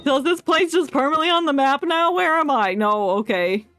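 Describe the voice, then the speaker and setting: A woman's voice: sits high, fairly quick, fairly bright tone, dry recording. A woman streamer giving casual, playful live commentary on a video game. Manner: informal, playful reactions. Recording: game music behind.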